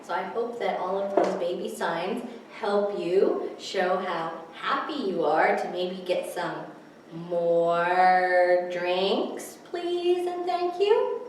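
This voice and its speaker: Echoey, slow-paced woman leading children's storytime. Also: mid-range voice. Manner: simple, encouraging address to small children. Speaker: children's library storytime host. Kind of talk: children's storytime presenting, teaching and reading aloud. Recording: echoey room, distant mic, faint noise.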